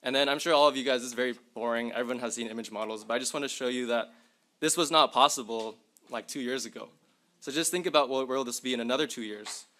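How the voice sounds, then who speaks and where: A man's voice: medium pitch, fast, sharp tone. A young man presenting at a symposium, casually chatting to the audience while demonstrating tools live. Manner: chatty, addresses audience directly. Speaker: tech presenter. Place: university lecture hall.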